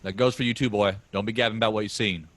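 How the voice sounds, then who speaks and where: A man's voice: fairly low pitch, fairly even intonation, fast talker, a bit bright. A man reading a snappish, hot-tempered farm son. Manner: blunt, snappish. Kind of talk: staged play reading.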